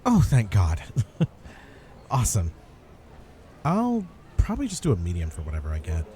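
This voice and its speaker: A man's voice: fairly low pitch, huge pitch swings, moderate pace, mellow, slight background noise. A man playing a friendly, awkward and chatty cafe customer in a comedy audio roleplay, hesitant and rambling. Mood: chatty, upbeat. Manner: hesitant, rambling, good-natured. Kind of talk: ASMR comedy roleplay acting.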